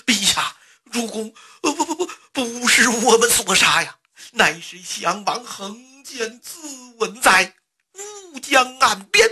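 A storyteller voicing a frightened, fawning male army officer in a traditional tale, raspy, anxious and exclaiming. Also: mid-range voice, big pitch swings, moderate pace, pauses often, sharp tone, voice pushed up, heavily acted. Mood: panicked pleading.

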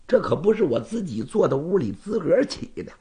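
A man's voice: fairly high pitch, sing-song. A male storyteller voicing a courteous, composed knight-errant who reasons patiently and firmly with his challenger. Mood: calm, explaining. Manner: measured, conciliatory, gently reasoning. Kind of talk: Chinese storytelling performance.

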